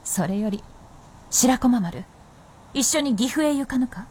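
An actress playing a playful, confident, centuries-old fox princess in a radio drama, with teasing, old-fashioned haughty speech. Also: female voice, on the high side, expressive, measured pace, darkish tone, slight background noise. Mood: playful, boasting. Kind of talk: Japanese radio drama acting.

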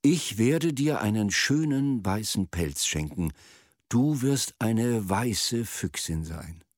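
A man voicing a commanding snow woman spirit as a storyteller in a radio play, measured in delivery. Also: fairly low pitch, lively intonation, dry recording, clean studio sound. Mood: soothing.

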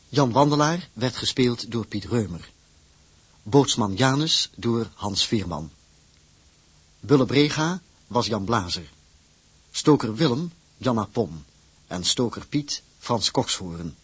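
A man reading scripted radio drama credits as an announcer in a measured, formal manner. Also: mid-range voice, slightly rough, no echo.

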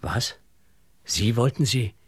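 An actor in a German radio drama playing an accused husband, tense and husky, who questions people urgently. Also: male voice, medium pitch, slow. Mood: agitated. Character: accused husband, tense man pressing for answers.

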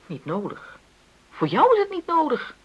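A woman's voice: sits high, huge pitch swings, moderate pace. An actress playing a frustrated middle-aged wife in a radio play, insistently arguing for equality in her marriage. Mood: indignant, bitter. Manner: argumentative, insistent, reasoning. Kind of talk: naturalistic Dutch radio play dialogue.

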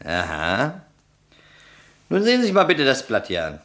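A needling detective in a vintage crime radio play. His medium-pitched voice swings widely in melody as he questions briskly and brushes theories aside. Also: huge pitch swings, fairly bright tone. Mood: probing, businesslike. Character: skeptical, needling male police detective on a murder case.

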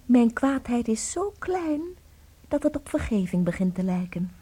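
A woman playing a devoted wife in a radio play, dramatic and intimate, swinging between tenderness and cold bitterness in a husky voice. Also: on the high side, very animated, unhurried, warm tone, exaggerated voice. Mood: wry, sad.

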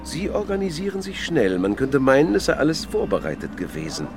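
A suspicious, sardonic policeman in a radio crime drama. His mid-range voice rises and dips sharply as he needles and accuses, with music playing clearly behind him. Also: medium pitch, wildly swooping pitch, brisk. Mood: sarcastic, accusing.

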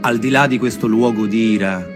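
A man telling stories and reading texts aloud as a theatre performer, expressive and deliberate in his delivery. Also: fairly low pitch. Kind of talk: storytelling with dramatic readings. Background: music.

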